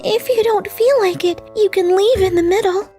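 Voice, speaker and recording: A woman voicing a shy, lonely young married neighbor in a manga dub, speaking softly and hesitantly. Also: very high voice, moderate pace. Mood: pleading. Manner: soft, pleading, hesitant. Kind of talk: anime-style manga dub acting. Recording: faint background music.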